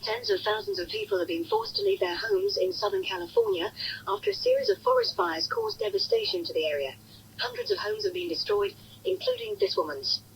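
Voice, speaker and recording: An Englishwoman reads the radio news from a script. Her intonation swings very widely at a middling pitch, and an old broadcast recording adds faint noise behind her. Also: huge pitch swings, fairly quick, poor audio. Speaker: news reader. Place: radio studio.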